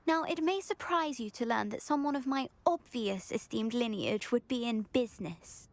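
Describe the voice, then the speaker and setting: A woman's voice: a little high, normal speed, no echo, lightly acted. A woman voicing a vain, scheming teenage schoolgirl in a video game, with a pompous, genteel British delivery. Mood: grand, persuasive. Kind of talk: video game character acting.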